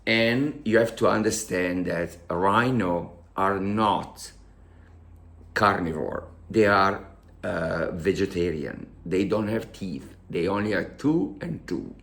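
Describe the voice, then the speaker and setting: A man with an Italian accent telling a personal story to his viewers in halting, emotional English, repeating words as he searches for them. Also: sits low, expressive, vocal fry, fairly bright tone. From Italy. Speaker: vlogger. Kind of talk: casual storytelling to camera.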